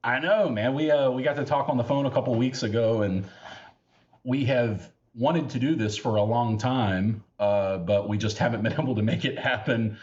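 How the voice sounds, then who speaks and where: A man's voice: medium pitch, moderate pace, a little mellow, lo-fi sound. An American man hosting a podcast, chatting casually with lots of fillers and easy laughter. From United States. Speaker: podcast host.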